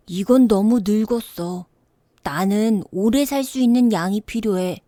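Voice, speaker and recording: A woman voicing a small, mysterious boy in a storybook, gentle and insistent, with childlike, drawn-out phrasing. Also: mid-range voice, dry recording, studio quality, pitched above the performer's own voice, natural voice. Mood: gentle insistence.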